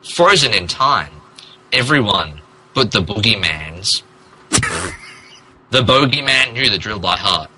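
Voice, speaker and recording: A man's voice: on the low side, lively intonation, takes it slowly, pauses often, bright, forceful tone, a little hiss or hum, low-quality recording. A man narrating a comic dramatic reading of fan fiction in a theatrical, emphatic manner. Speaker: podcast host reading as narrator.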